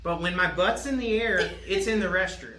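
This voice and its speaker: A man's voice: high voice, normal speed. A man co-hosting a podcast in casual banter, defending himself and telling dad jokes. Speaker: podcast co-host. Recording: echoey room with faint noise.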